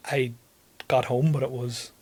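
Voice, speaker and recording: A man's voice: mid-range voice, level tone, unhurried, a little mellow, husky. A young man, a comedian telling a story on a podcast in a rambling way, repeating words and laughing often. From Northern Ireland. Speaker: comedian guest.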